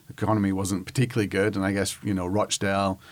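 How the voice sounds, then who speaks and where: Northern english guy in his 40s, deep voice, kinda halting and thoughtful, being interviewed. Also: level tone. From northwest England, near Manchester. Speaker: interview guest, history professor. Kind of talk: conversational interview, recollection.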